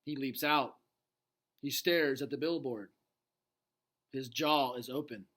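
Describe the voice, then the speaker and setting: A man reading a children's book aloud with expressive narration and casual asides. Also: medium pitch, takes it slowly. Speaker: storybook reader.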